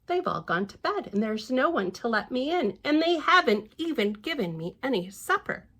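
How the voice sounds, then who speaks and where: A woman voicing a sad, self-pitying female cat in a children's storybook, slow and plaintive. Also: high voice, very animated, fairly bright tone, husky, heavily acted.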